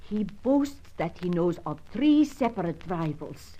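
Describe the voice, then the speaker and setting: An actress playing a distressed, fearful European widow who confides in formal, careful, melodramatic phrases in a radio adventure serial. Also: female voice, fairly low pitch, very animated, a little slow, warm tone, slight background noise, low-quality recording. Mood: grave, explaining.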